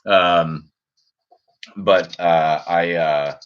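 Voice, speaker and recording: An American man in his forties from the upper Midwest, chatting casually and haltingly as host of a livestream. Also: low-pitched, steady tone, clear, resonant tone. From Minnesota and Wisconsin, US. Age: 40s. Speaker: fishing channel host. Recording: dull, lo-fi stream audio.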